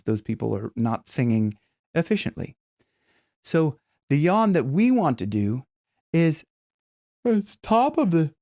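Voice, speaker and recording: Male vocal coach, casual and emphatic, lively pitch, dry close sound. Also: dry recording. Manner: chatty and emphatic, with vocal demos. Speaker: singing teacher. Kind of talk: casual video lesson.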